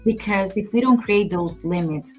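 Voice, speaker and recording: A woman co-hosting a podcast, talking in a measured, earnest, advice-giving way in casual conversation. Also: medium pitch, soft background music, low-quality recording. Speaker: podcast co-host.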